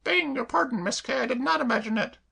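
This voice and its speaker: A man voicing an excitable, melodramatic woman cook who frets about burglars. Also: very high-pitched, quick pace, creaky, husky, voice pushed up, full character voice. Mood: darkly dramatic.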